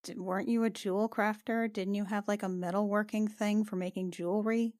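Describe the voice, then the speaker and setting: A woman's voice: mid-range voice, steady tone, fairly quick, darkish tone, dry recording, clean studio sound. A woman playing a tabletop roleplaying game, chatting in a casual, slangy, improvised way. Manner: slangy, conversational.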